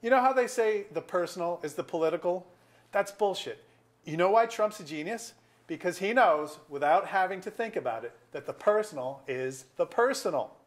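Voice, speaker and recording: A man's voice: high-pitched, expressive, normal speed. Man playing a bitter, sardonic middle-aged lawyer. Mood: ranting. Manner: wry, wordy, sarcastic. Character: bitter, sardonic middle-aged male small-town lawyer nursing a grudge. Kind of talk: staged play reading, naturalistic dialogue. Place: auditorium.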